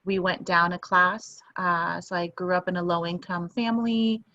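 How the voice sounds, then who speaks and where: A woman speaking low and fairly flat, with a thoughtful, earnest manner full of small pauses. She was born in Iran and talks from her home. The sound is dull and lo-fi, like a video call. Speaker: guest, English professor. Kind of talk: interview over video call.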